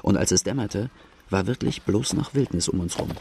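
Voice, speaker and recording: A male outlaw narrates his own youth in a radio drama. The voice is low and heavily gravelly, told plainly. Faint noise lies underneath. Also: normal speed, dark tone. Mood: reflective. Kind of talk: first-person narration in German radio drama.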